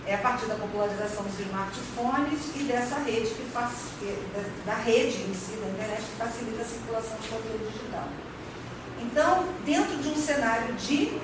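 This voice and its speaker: A Brazilian woman giving an explanatory academic presentation as a researcher, often rephrasing and checking in with short agreement tags. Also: fairly high pitch. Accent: Brazilian Portuguese. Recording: very echoey room, distant mic, clear background noise.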